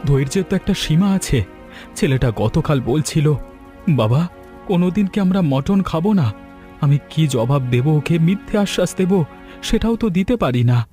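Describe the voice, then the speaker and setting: A man acts a hardworking, poor farmer in a cartoon folk tale, proud yet downhearted. His male voice sits a little high and sounds plaintive and earnest, with music behind it. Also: lively intonation, normal speed, dark tone, close to an everyday voice. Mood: weary, hurt.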